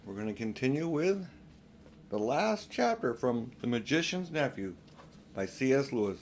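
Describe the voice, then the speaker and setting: An older man narrating a children's audiobook in a deliberate, phrase-by-phrase manner. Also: mid-range voice, sing-song, slight background noise. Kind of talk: scripted storybook narration.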